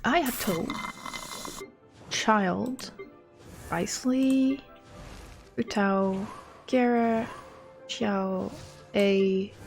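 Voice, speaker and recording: A woman livestreaming a game talks at a slow pace with plenty of vocal fry. She rambles and thinks aloud in a casual, self-deprecating way, with faint music behind her. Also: medium pitch, a little mellow. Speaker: game streamer. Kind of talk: casual livestream chat and commentary.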